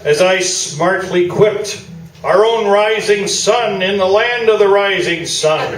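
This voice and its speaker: A man's voice: high-pitched, slow, crowd noise. A man playing a pompous, self-satisfied newspaper sports columnist in a live staged radio play, talking in grandiloquent phrases. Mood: proud, grandiose. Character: pompous, boosterish male newspaper sports columnist, staunchly loyal. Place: small theatre stage, indoors. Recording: roomy, distant live theatre video, noisy.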